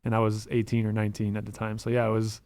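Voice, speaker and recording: A man from Montreal, about thirty, whose first language is French, telling stories as a podcast guest in a quick, rambling way full of "like" and "um". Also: fairly low pitch, completely flat delivery, darkish tone, dry recording. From Montreal, Canada. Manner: rapid storytelling, full of "like" and "um". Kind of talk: casual podcast interview.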